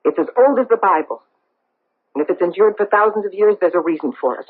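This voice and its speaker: Radio drama socialite, played by a woman: bitter, challenging, husky-edged, on a tinny narrow-band broadcast. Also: mid-range voice, quick pace, natural voice. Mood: bitter, indignant. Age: middle-aged. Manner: intense, theatrical, challenging. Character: embittered, vengeful socialite wife hiding a painful past. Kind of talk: 1970s radio drama acting. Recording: old radio broadcast, thin narrow phone-like sound.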